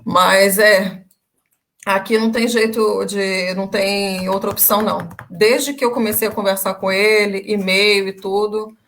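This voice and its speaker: Brazilian woman telling a lively story. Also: medium pitch. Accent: Brazilian Portuguese. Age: young adult. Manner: lively storytelling with many filler pauses. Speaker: interviewee, former language student. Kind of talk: casual interview chat. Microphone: video call.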